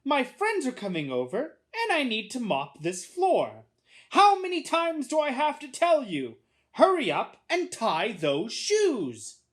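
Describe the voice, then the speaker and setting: Nagging storybook big sister read by a woman, high, scolding, heavily stressed and very animated. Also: full character voice. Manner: scolding, drawn-out emphasis. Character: bossy, nagging older sister, a young girl.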